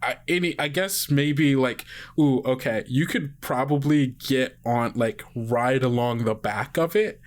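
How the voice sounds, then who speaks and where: A game master narrating a tabletop game casually, with a breathy voice. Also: medium pitch, a little slow, hardly any pauses.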